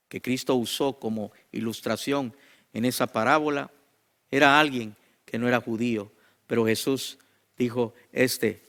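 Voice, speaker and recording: Creaky-voiced Guatemalan man preaching. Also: mid-range voice, a bit bright. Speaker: pastor and teacher. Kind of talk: sermon to a congregation.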